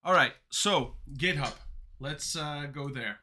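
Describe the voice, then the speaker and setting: A man's voice: on the high side, slow, sharp tone, a little hiss or hum. A man whose first languages are Dutch and Turkish, chatting casually on a coding livestream and answering chat questions as he goes. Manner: rambling, answers chat questions mid-talk. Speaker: streamer learning to code.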